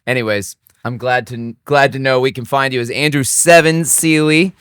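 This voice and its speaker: A man's voice: medium pitch, steady tone, moderate pace, fairly bright tone, clear, resonant tone, no room sound. Male podcast host, playful and chatty, dry close recording. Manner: playful, laughs often, rambling questions. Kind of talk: casual interview chat.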